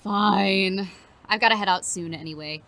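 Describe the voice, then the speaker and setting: A woman's voice: mid-range voice, normal speed, fairly bright tone, natural voice. A woman playing an earnest, teasing teenage girl student reporter, casual and affectionate, in an audio drama. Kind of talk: naturalistic audio drama acting.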